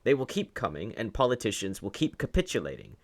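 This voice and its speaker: A man's voice: fairly low pitch, very animated, quick pace. A man giving opinionated video commentary in an argumentative, sarcastic way, often posing rhetorical questions. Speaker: online commentator.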